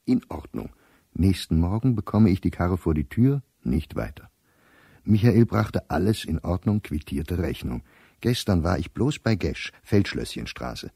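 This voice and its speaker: A middle-aged professor worn down and bitter, reading his diary in a radio play. His voice is very low and mellow, set close and dry. He speaks in exact, clipped entries. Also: slightly rough, no room sound, exaggerated voice. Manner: precise, clipped telegraphic diary phrasing.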